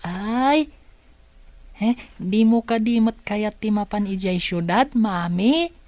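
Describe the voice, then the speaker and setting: A woman's voice: medium pitch, slow pace, darkish tone, dry recording, natural voice. A woman playing an innocent small child in a radio drama, with a pleading, childlike manner.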